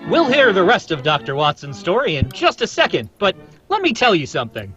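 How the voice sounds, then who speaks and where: A chummy old-time radio pitchman, played by a man, high in pitch and full of swoops. He sells in a folksy, confiding way, through a slightly muffled mic. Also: wildly swooping pitch, brisk, sharp tone, soft background music, heavily acted. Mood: chummy, persuasive. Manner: folksy, salesmanlike. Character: chummy, eager radio announcer pitching wine. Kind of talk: 1930s-40s radio announcer reading.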